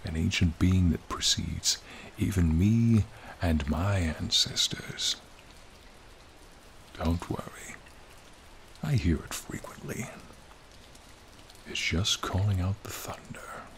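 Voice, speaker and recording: A man voicing a charming, friendly ancient dragon in a soothing, formal, gently teasing ASMR roleplay. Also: deep voice, slow pace, gravelly, long pauses, slight background noise, full character voice. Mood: calm, welcoming. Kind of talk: ASMR roleplay, slow intimate monologue. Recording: rain and cave ambience behind.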